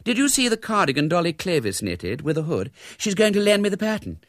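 A girlish young British woman, acted by a man, with a high and very varied pitch. She is chatty and fashion-minded, a character from a storybook. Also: huge pitch swings, brisk, no room sound, studio quality, heavily acted.

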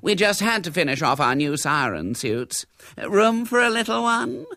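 Man doing a gushing, high-voiced British matron. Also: very animated, normal speed, bright, forceful tone, no room sound, studio quality, full character voice. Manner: gushing, polite. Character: gushing, genteel stout middle-aged woman, gaily sociable.

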